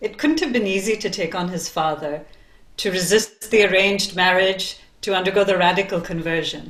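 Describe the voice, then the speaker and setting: A woman's voice: medium pitch, a little fast, fairly bright tone, faint music behind, low-quality recording. A woman narrating a biographical play from a script as a dramatic reading.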